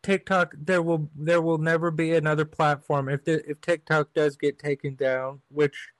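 A man's voice: a little high, little pitch movement, moderate pace, no room sound. A man hosting a podcast over a video call, with a stutter, swearing casually and agreeing often. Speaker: podcast host.

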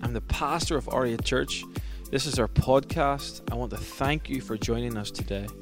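A man's voice: mid-range voice, normal speed. A man, a pastor, reading a short welcoming intro to his church podcast. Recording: intro over music.

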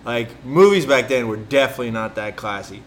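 A man of middle pitch hosts a laid-back podcast, wandering between tangents and dropping profanity as he talks. He often loses his thread mid-sentence. Faint background noise hums under the recording. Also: lively intonation, a little fast. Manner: rambling, profane, loses his train of thought. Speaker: podcast host. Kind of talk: casual chat.

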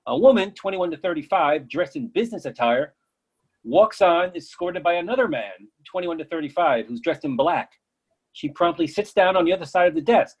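A man with a husky voice reading stage directions as scripted narration for a play. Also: on the high side, expressive, normal speed, rough recording.

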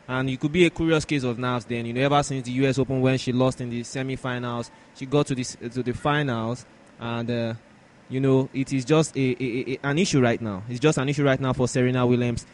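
A man's voice: medium pitch, steady tone, fast, a little mellow, slight background noise. A man hosting a sports podcast in a conversational way, often repeating and rephrasing as he discusses and poses questions.

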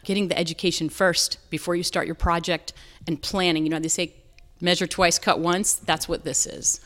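An American woman with a voice a little lower than most speaks as an interview guest. She explains things earnestly and in a conversational style, like a business owner talking about her work. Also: little pitch movement, normal speed, fairly bright tone. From North Carolina, southern United States.

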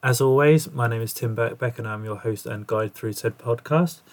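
A young man talks into a podcast mic, his voice stuffy and nasal from a cold. He rambles casually, swearing in passing, at a mid pitch. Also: moderate pace. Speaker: podcast host. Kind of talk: casual podcast intro monologue.

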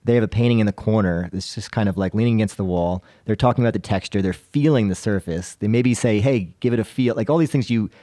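A man's voice: on the low side, quick pace, hardly any pauses, warm tone, dry recording, clean studio sound. A man chatting casually as a podcast panelist, telling anecdotes in a conversational, self-deprecating way.

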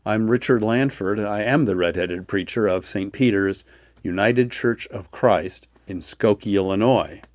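Male American preacher for podcast introduction and prayer: lowish voice, unhurried delivery. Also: darkish tone, no echo.

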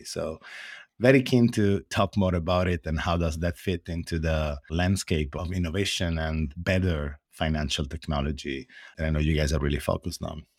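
A man with an Italian accent and a very low, deep voice talks through his work as a podcast guest. His delivery is earnest and explanatory, with frequent pauses and hesitant fillers. The sound is close and dry, with almost no room around it. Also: dry recording. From Italy. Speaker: guest, open source foundation director. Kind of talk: podcast interview answers.

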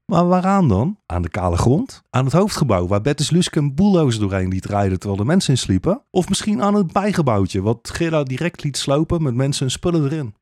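A man's voice: medium pitch, sing-song, fairly quick, hardly any pauses, a little mellow, no echo, studio quality. A man narrating a scripted satirical fairytale radio play in a sardonic, rhetorical manner.

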